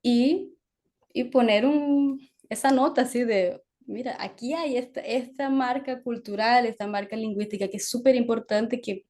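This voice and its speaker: A woman novelist from Venezuela talking through her ideas in a relaxed discussion, full of restarts and tag questions. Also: fairly high pitch, normal speed. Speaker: novelist guest. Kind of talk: conversational discussion.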